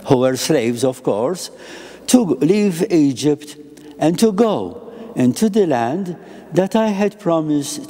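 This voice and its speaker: Slow, lively-pitched elderly priest preaching. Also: male voice, big-room sound. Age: older. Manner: slow, deliberate phrasing in short measured pieces. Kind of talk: sermon. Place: chapel with an icon and a lectern, indoors. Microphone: lectern microphone.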